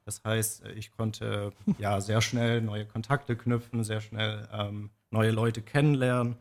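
A young man with a somewhat low voice, answering interview questions in a careful, measured way. He speaks plain standard German and pauses often with fillers as he explains. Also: level tone. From southwest Germany. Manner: measured, hesitant, many fillers. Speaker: trainee, radio guest.

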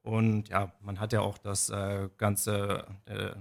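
A young man with a somewhat low voice, answering interview questions in a careful, measured way. He speaks plain standard German and pauses often with fillers as he explains. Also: completely flat delivery, creaky. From southwest Germany. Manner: measured, hesitant, many fillers. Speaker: trainee, radio guest.

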